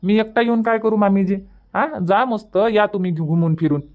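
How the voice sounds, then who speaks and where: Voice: mid-range voice, brisk, phone-quality sound, close to an everyday voice. A performer voicing a polite, busy young village man in a Marathi cartoon comedy, with a Varhadi accent and a respectful, coaxing manner. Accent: Varhadi Marathi from Vidarbha.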